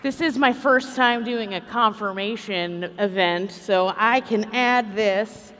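A woman's voice: mid-range voice, slow, a bit bright, slight background noise. A woman playing a brash, self-promoting, showy gig musician in a live comedy sketch. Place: convention stage in a large hall. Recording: echoey hall with music.

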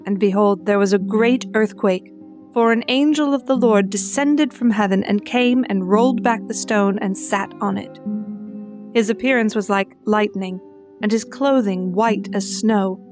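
A woman's voice: mid-range voice, unhurried. A woman narrator giving a scripted reading of scripture. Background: music with guitar.

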